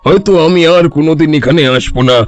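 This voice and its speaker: A man's voice: on the high side, quick pace, soft background music, lightly acted. A man voicing a sly, sweet-talking and cowardly fake holy man in a cartoon story. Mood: pleased, grateful.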